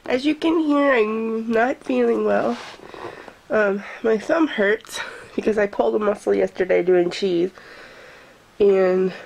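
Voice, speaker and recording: A woman's voice: mid-range voice, slow pace, vocal fry, long pauses, a little mellow, low-quality recording. A woman chatting casually to camera in her daily vlog, sick with a stuffy nose and her voice coming and going, self-mocking and laughing often. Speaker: vlogger. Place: at home indoors.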